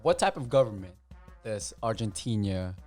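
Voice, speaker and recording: A man in his thirties, from the Philippines, co-hosting a casual podcast and teasing his guest in a deadpan way. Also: on the low side, moderate pace, soft background music. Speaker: podcast co-host.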